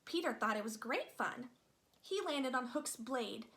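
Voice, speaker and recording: Woman storybook reader, expressive narration, high pitch, bright and animated. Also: measured pace. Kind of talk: expressive read-aloud children's narration.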